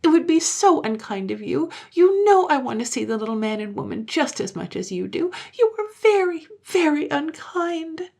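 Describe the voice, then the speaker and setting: A woman's voice: extremely high pitch, big pitch swings, moderate pace, hardly any pauses, voice pushed up. A woman voicing a small, earnest, tearful girl who pleads wistfully in a children's storybook. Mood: hurt, reproachful.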